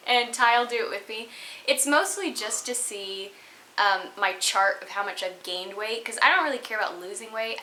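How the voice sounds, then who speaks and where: A young woman in her twenties chats at a home webcam, her voice bright and clear. She talks in a giggly, easygoing way, full of ums and likes. A faint hiss sits under the room sound. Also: mid-range voice, low-quality recording. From Texas. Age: 20s. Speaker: vlogger answering viewer questions. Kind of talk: casual chat. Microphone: webcam at desk.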